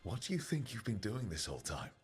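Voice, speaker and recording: Very raspy, easygoing adventurer. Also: male voice, lowish voice, very animated, fast, heavily acted. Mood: reassuring. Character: easygoing, encouraging male adventurer, trusted comrade. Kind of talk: video game cutscene acting. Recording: music behind.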